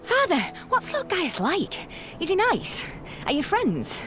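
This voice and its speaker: A woman's voice: very high voice, huge pitch swings, takes it slowly, fairly bright tone, soft background music, heavily acted. A woman voicing an innocent, inquisitive little girl in a video game, earnest and childlike. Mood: curious, eager. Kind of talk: dramatic video game voice acting.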